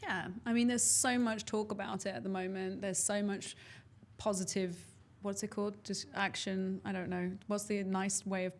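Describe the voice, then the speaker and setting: A woman artist in a casual discussion, emphatic and precise, quick to laugh. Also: medium pitch, flat delivery.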